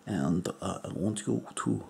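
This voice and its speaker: A man voicing an eccentric, crude foreign male doctor in a deadpan whisper. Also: lowish voice, gravelly, slight background noise, heavily acted. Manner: whispery, slow, deadpan joking. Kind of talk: comedic ASMR roleplay.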